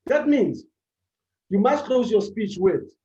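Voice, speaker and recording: A man with a fairly high voice delivers a motivational talk, slowly and deliberately. He builds his points from short, punchy phrases and repeats them for emphasis. The sound is dull and echoes through a room. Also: lively intonation, dark tone. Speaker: public speaking club speaker. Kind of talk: motivational speech.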